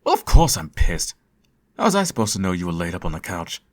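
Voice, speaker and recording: A man playing a sardonic, theatrical supervillain who fusses over his nemesis in an ASMR audio roleplay, his voice slightly husky. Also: low voice, huge pitch swings, fast. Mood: indignant, flustered. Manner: theatrical, teasing, sarcastic.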